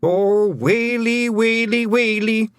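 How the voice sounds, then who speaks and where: A tiny, rowdy fairy chieftain, squeaking high with a thick Scottish brogue. His voice leaps all over the place, loud and boisterous. Recorded dry with faint music beneath. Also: sing-song, normal speed, no room sound, full character voice. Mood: rowdy, pleading. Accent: put-on Scottish. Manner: boisterous, shouty, brogue-heavy. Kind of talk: audiobook character voice.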